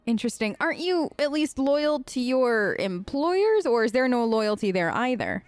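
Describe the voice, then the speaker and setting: A woman's voice: on the high side, big pitch swings, normal speed, no room sound. A woman improvising casual in-character replies as a let's play host, chatty, informal and a bit rambling. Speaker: let's play host role-playing her game character.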